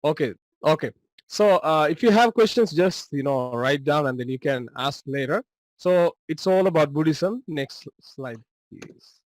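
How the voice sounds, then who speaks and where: A man's voice: a little high, lively intonation, unhurried, no echo, rough recording. A man from Sri Lanka with a Sri Lankan accent, a native Tamil speaker, presenting slides to students over a video call in a friendly, loose way with many fillers. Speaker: guest presenter.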